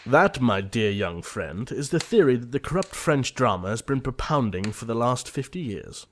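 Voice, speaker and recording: A man playing an earnest, easily exasperated young gentleman suitor in an audio comedy, formal and theatrical. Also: medium pitch, sing-song, moderate pace, a little hiss or hum, close to an everyday voice. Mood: irritated. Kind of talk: audio play comedy of manners.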